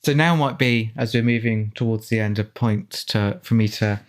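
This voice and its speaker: A man's voice: lowish voice, moderate pace. A man giving informal film commentary as an author, chatty and discursive in the way he talks. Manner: chatty, discursive, reading out quotes.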